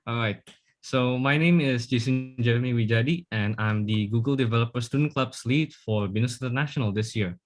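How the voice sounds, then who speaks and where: A man's voice: mid-range voice, a little fast. A young man, a student club lead, giving an orderly presentation on a video call.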